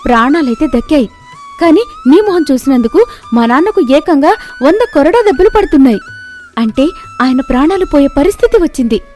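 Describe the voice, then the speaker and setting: Casting: fearless young village girl played by a woman, high voice, blunt and challenging, moral tale, music bed. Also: sing-song, fairly quick, darkish tone. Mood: bold, indignant. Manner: direct, challenging. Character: bold, sharp-witted ten-year-old village girl confronting a lord.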